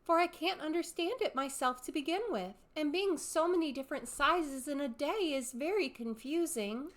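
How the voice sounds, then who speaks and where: A woman's voice: high voice, moderate pace, pitched above the performer's own voice, natural voice. A woman voicing a polite, earnest young girl who is timid yet a little indignant, in a storybook reading. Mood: polite, confused.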